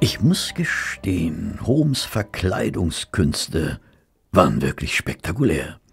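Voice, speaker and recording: Ein Schauspieler spielt in einem Hörspiel einen treuen, skeptischen Gentleman, den Gefährten eines Detektivs, der bedacht und leicht zweifelnd spricht. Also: low voice, sing-song, creaky, faint music behind, full character voice. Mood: reflective narrating. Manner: measured, mildly doubtful. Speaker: actor and narrator in an audio drama. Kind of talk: German audio drama dialogue and narration.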